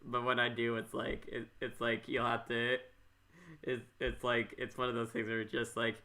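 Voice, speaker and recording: A young man chatting casually as a podcast host, halting and often repeating his words. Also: mid-range voice, completely flat delivery, sharp tone.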